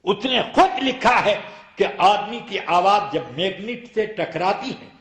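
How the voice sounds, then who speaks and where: A man's voice: high voice, lively intonation, a little slow, fairly bright tone. A man preaching as an Islamic scholar, explaining and arguing a ruling emphatically with rhetorical questions. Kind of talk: Islamic sermon, explanatory and argumentative. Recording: echoey room sound.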